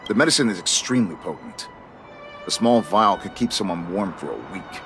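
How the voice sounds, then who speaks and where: An actor playing a stern but fair guard captain in a video game, speaking formally and earnestly in measured lines. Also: male voice, sits low, animated, fairly bright tone, faint music behind. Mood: earnest, grave. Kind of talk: scripted video game voice acting.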